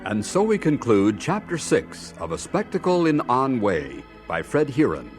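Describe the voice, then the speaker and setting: A man's voice: medium pitch, sing-song, measured pace, over music. A man with an American accent reading scripted narration as the narrator of a radio drama.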